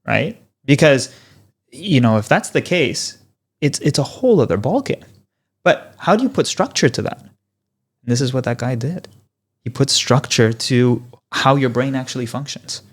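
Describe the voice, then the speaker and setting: Canadian man, 30s, Polish-born parents; lively explainer, rhetorical questions, mid-pitch. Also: moderate pace. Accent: Canadian English. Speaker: podcast guest, physiotherapist. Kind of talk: casual conversational interview.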